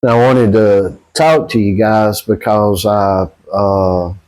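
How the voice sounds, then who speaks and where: A man with a Southern US accent giving casual, rambling opinions to viewers in a halting, folksy, blunt way. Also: fairly low pitch, steady tone, a little mellow. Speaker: boxing channel host.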